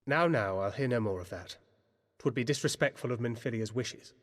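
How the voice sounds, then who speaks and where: A man's voice: mid-range voice, moderate pace, natural voice. A man acts a reassuring scholar in a fantasy game. His voice is mid-range, articulate and old-fashioned, with long hall reverb. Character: kindly, reassuring learned comrade with old-fashioned speech. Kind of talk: archaic fantasy game dialogue.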